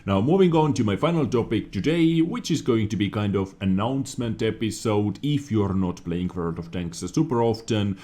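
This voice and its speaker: A man's voice: fairly low pitch, lively intonation, normal speed, few pauses, warm tone. A man hosting gaming news commentary in an opinionated, emphatic way, repeating phrases for stress. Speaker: gaming news show host. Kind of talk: video game news commentary.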